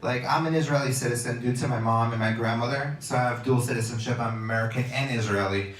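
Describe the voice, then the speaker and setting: A man's voice: mid-range voice, fast talker. A man in casual radio chat as a guest, rambling with many fillers and restarts. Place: outdoors on a beach. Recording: roomy, distant mic, faint noise.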